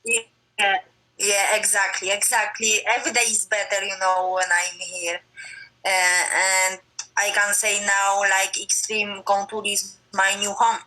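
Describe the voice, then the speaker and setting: A woman from Poland with a Polish accent, answering interview questions in simple, halting English with frequent fillers. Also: mid-range voice, flat delivery, sharp tone, rough recording. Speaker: MMA fighter.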